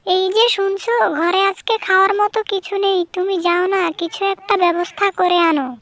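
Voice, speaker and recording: A man's voice: very high-pitched, fairly even intonation, moderate pace, bright, forceful tone, dry recording, voice pushed up, heavily acted. A man voicing a fox's wife in a cartoon folktale, coaxing her husband in a wifely way.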